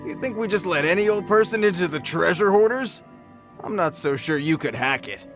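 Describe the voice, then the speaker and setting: A man's voice: high-pitched, expressive, a little fast, over music. A man voicing a streetwise bandit thug in a video game, taunting and slangy with a swagger. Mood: taunting, amused. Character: mocking, streetwise male bandit thug, rough-mannered.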